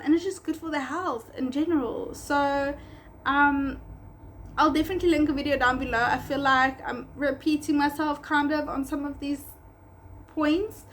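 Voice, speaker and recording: A woman's voice: sits high, a bit bright, a little hiss or hum. A young woman in her twenties from South Africa, Afrikaans by birth, chatting casually in a vlog with a South African accent and a rambling, self-correcting manner. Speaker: pet spa owner.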